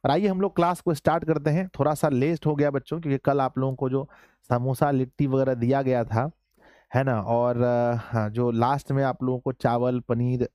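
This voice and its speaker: A man teaching an online class in a casual, joking way, slightly hoarse, often calling his students children. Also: mid-range voice, steady tone, moderate pace, warm tone, no echo, studio quality. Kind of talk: casual live class lesson.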